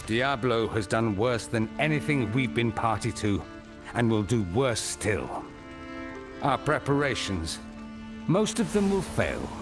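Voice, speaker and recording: An actor playing a grave, weary male mentor in a video game, speaking in a solemn, measured, formal way over music. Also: medium pitch, very animated. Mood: grave, resolute. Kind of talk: dramatic video game dialogue. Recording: music behind the voice.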